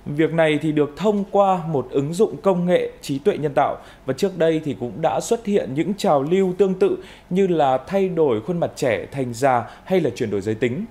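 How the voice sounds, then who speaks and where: A man's voice: a little high, expressive, moderate pace, few pauses, warm tone. A man in his thirties presenting scripted news as an anchor in a television studio.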